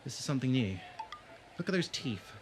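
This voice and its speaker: An actor playing a sardonic, sharp-minded male adventurer in a fan audio drama, dry and pointed in delivery. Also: medium pitch, big pitch swings, slight background noise, natural voice. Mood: analytical. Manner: dry, pointed, deliberate when pressing.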